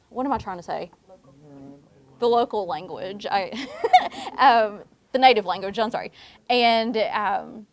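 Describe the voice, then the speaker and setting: A woman on a panel, talking hesitantly with many fillers and laughing at herself. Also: medium pitch. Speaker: alumni panelist. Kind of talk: panel talk.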